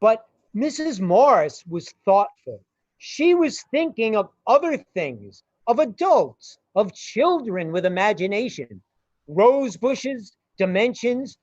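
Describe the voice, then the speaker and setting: A woman's voice: on the high side, sing-song, slow pace, darkish tone, no echo, lo-fi sound. A woman narrating a scripted story in a radio play.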